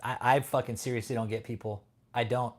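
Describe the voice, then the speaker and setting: Guy from california on a livestream, mocking some troll in chat, swears a ton, mid pitch. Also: flat delivery, fairly quick. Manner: taunting, profane, laughs at chat. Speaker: livestream host.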